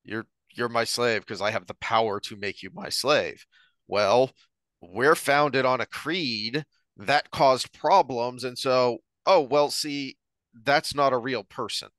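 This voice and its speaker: Bright-voiced American man, dry sound. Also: medium pitch, takes it slowly, dry recording. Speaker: podcast co-host. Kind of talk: earnest discussion of politics and philosophy.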